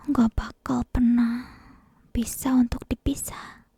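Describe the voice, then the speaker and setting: A woman playing an obsessive, possessive yandere kidnapper in an ASMR roleplay, whispering slowly and breathily with menacing sweetness, close to a binaural microphone. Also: on the high side, warm tone, husky, no echo, exaggerated voice. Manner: slow, lingering, menacingly sweet delivery. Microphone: close binaural ear microphone.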